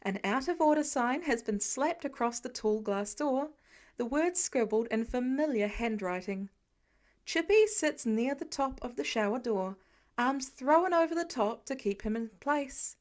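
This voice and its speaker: A woman with a fairly high voice reads fiction aloud. Her delivery is scripted storytelling, in the manner of a home-made audiobook. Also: lively intonation, unhurried, darkish tone. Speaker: amateur audiobook narrator.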